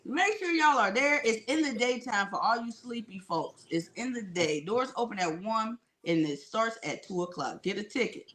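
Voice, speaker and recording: A man's voice: sits high, lively intonation, normal speed, few pauses, bright, forceful tone. A man hosting a casual live podcast, laid-back and slangy, with an Ohio accent. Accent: American English, Ohio. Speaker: podcast host.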